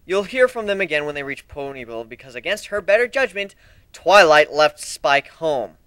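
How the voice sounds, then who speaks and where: A man's voice: fairly high pitch, sing-song, normal speed, fairly bright tone, clear, resonant tone, no room sound. A man playing a sarcastic, cocky griffin pirate in an amateur story reading, with a flippant manner. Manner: flippant, sardonic.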